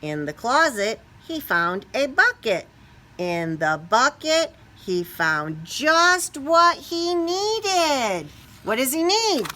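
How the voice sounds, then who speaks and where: A woman's voice: high-pitched, big pitch swings, takes it slowly, bright, forceful tone, slight background noise. A woman reading a children's picture book aloud expressively, stretching key words and putting questions to young listeners. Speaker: storybook reader.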